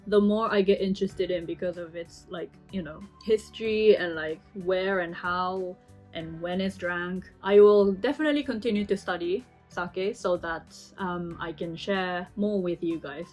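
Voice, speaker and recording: A woman's voice: lowish voice, normal speed, darkish tone, soft background music. A young woman from Japan, answering viewer questions in a casual, chatty way full of "you know" fillers. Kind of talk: casual Q&A chat.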